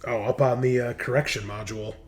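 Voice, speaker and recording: A man's voice: mid-range voice, fairly even intonation, fast. A man casually narrating a tabletop roleplaying game as game master. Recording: noticeable background noise.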